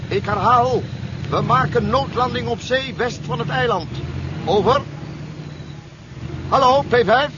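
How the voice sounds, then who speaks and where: High-voiced curt pilot, muffled radio play. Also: male voice, a little slow, pauses often. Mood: urgent, focused. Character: male military pilot, curt and cool under pressure. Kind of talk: 1970s Dutch radio drama acting. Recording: muffled, noisy 1970s radio recording.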